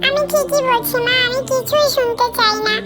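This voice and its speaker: An extremely high-pitched little boy from a cartoon, acted by a woman. He is whiny and insistent with his parents, over loud music. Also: moderate pace. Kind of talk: scripted cartoon dialogue.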